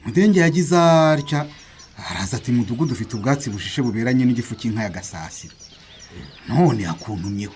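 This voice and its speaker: A man's voice: mid-range voice, expressive, fast talker, darkish tone, a little hiss or hum, close to an everyday voice. A man with a Rwandan accent acting a persistent village leader in a radio drama, explaining himself insistently. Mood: puzzled, explaining. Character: persistent village leader politely collecting an unpaid debt.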